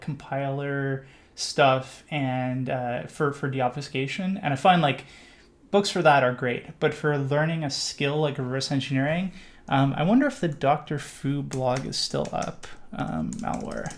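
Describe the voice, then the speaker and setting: A man's voice: medium pitch, fairly even intonation, unhurried. A middle-aged Canadian man hosting a live stream, answering viewer questions in a rambling casual way with lots of fillers. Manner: rambling with many fillers and restarts. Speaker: livestream host.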